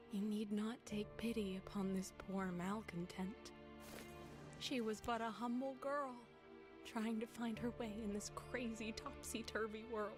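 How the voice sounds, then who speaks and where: A rueful sorceress with a soft, theatrical delivery, as a woman acts her out. The line lands with overdone drama, and music plays steadily under the voice. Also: medium pitch, lightly acted. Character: scheming sorceress turned tender, adult woman. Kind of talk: comedic fan-dub acting. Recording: fan dub played over background music.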